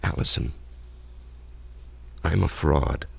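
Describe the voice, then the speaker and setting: An actor in a radio drama playing an earnest, haunted executive with a secret convict past, speaking haltingly. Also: male voice, a bass voice, gravelly, slightly rough, full character voice. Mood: anguished, confessing.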